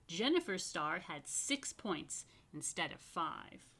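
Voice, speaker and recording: A woman rabbi reading a picture book aloud to children, expressively stretching key words and adding chatty asides. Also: on the low side. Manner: expressive, dramatic emphasis and drawn-out words. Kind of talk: animated storytime read-aloud with chatty asides.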